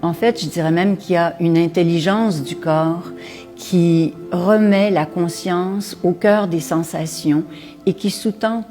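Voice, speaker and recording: Woman writer, kinda low voice, slow and hesitant. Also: steady tone, dark tone, faint music behind. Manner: hesitant, searching for words. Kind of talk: reflective interview testimony.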